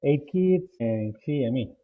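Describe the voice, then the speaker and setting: A man in his forties from El Salvador with a Salvadoran Spanish accent, speaking English as a second language. He talks haltingly as a podcast guest, often restarting and repeating words. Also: medium pitch, expressive, husky. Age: 40s. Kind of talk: casual interview answers. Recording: tinny phone-line audio.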